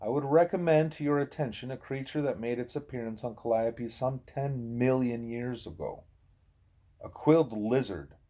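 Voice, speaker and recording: A man voicing a wise, patient gorilla teacher who lectures in a deliberate, formal way. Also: medium pitch, mellow, lightly acted.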